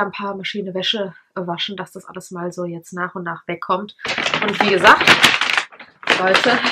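A woman's voice: medium pitch, pushed and bright, husky. A German woman in her thirties, a little stuffy-nosed, chatting casually in a home vlog as she shows products one by one. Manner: chatty, showing products one by one, lots of fillers. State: slightly stuffy nose. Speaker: vlogger. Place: living room at home. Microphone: camera on tripod at close range.

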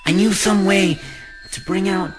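A woman voicing a small talking flower in a comic dub, flattering and coaxing with a cruel, scheming streak. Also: medium pitch, unhurried, natural voice. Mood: sly coaxing. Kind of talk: comic dub character acting. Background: music.

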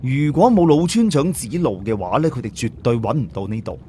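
Male audiobook narrator, dramatic and animated delivery, faint background noise. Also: medium pitch, fairly quick. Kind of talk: dramatic scripted story narration.

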